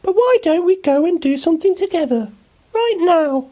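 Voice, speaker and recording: A man acts out a friendly little girl from a bedtime storybook. The voice is pitched extremely high and moves up and down in a lively, keen way. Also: moderate pace.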